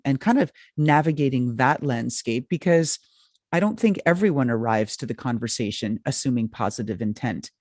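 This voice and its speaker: A woman's voice: very deep voice, darkish tone, no echo, clean studio sound. A woman hosting a podcast who asks long, enthusiastic questions. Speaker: podcast host. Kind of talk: interview questions.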